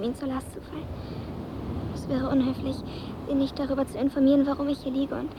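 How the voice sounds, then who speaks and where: High-voiced, solemn little girl. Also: noisy background. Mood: hesitant, polite. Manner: formal, halting, overly courteous. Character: polite, sad, precocious girl of about ten. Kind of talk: radio drama acting.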